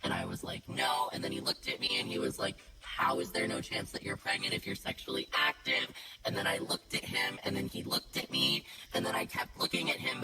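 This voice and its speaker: A woman telling a casual anecdote in a deadpan, wry manner. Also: very deep voice. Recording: echoey with loud music behind.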